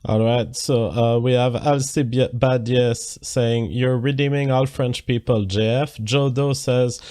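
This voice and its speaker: A man hosting a livestream, reading viewer comments and donations aloud and then answering them in a measured way. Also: medium pitch, steady tone, mellow, clean tone. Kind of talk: casual livestream talk.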